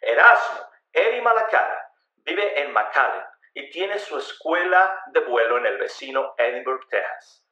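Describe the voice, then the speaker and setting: A man's voice: on the high side, sharp tone, lo-fi sound. A man narrating a scripted radio drama in a theatrical, emphatic storytelling manner. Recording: roomy echo on the voice.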